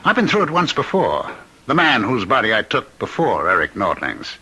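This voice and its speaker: Mocking condemned murderer, theatrically calm, wildly varied pitch, noisy clicking old radio recording. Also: male voice, normal speed. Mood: taunting. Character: taunting condemned killer, man claiming to be immortal Ripper. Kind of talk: old radio drama acting.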